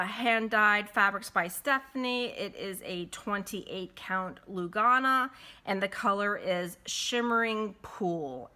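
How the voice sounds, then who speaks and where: A congested woman chatting casually as she stitches in a home vlog, in an unhurried, friendly way. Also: medium pitch, bright, forceful tone. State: congested from a cold. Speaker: cross-stitch vlogger. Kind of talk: casual chat while stitching. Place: at home with windows closed.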